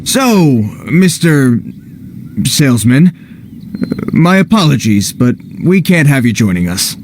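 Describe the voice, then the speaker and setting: A man's voice: medium pitch, very animated, slow, background noise. A man voicing a cocky, blustering but gullible bandit leader with slangy street talk in a video game cutscene. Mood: nervous, deferential.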